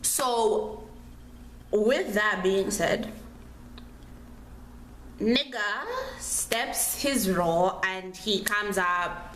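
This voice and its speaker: A woman's voice: mid-range voice, very slow, long pauses, a bit bright. A young woman telling a personal story casually, in a chatty, slangy, dramatic way, repeating phrases for emphasis. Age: young adult. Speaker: storytime vlogger. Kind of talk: casual animated storytelling to camera. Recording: roomy echo, clear background noise.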